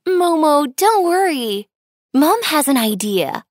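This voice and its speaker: A woman voicing a gentle, reassuring young mother in a children's storybook, soothing and coaxing. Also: sits high, sing-song, slow pace, dry recording, clean studio sound, heavily acted. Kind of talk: scripted children's storybook dialogue.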